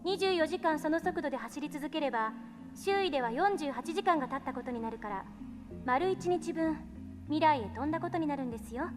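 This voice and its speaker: Fast, high-voiced genius teenage girl. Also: expressive. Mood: composed, explanatory. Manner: explanatory, confident. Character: eighteen-year-old genius girl lecturer, composed, gutsy. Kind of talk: Japanese visual novel voice acting. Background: game music.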